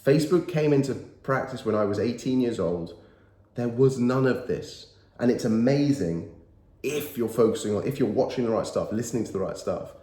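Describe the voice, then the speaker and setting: A man's voice: mid-range voice, lively intonation, normal speed, mellow. A man in his thirties, nasal from a cold, giving earnest and emphatic motivational talk to camera and repeating his key phrases. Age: 30s. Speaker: motivational YouTuber. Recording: roomy echo.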